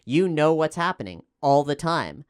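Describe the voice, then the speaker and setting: Guy chatting and explaining on a podcast, really clean smooth voice, dry close sound. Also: a little high, very animated, normal speed, dry recording. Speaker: podcast host and player. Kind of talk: casual podcast discussion.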